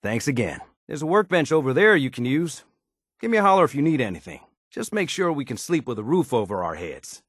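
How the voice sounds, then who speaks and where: A man's voice: a little high, fairly bright tone, breathy edge, no echo, studio quality, exaggerated voice. A man playing a down-to-earth, folksy and modest handyman in a wasteland video game, with easygoing, colloquial phrasing. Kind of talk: video game character dialogue.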